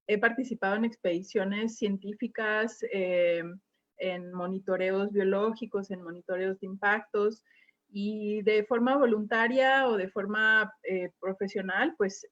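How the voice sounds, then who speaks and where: Woman dive instructor on a muffled video call. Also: medium pitch. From Mexico, Quintana Roo. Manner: relaxed, many fillers and pauses. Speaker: dive instructor giving a talk. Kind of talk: online presentation talk.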